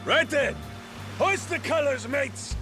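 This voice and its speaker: An actor playing a brash, carefree middle-aged pirate captain in a video game, with folksy, slangy talk. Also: male voice, extremely high pitch, animated, slow pace, heavily acted. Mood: rousing, jubilant. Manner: folksy drawl with slangy grammar. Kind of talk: video game cutscene acting. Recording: background music behind dialogue.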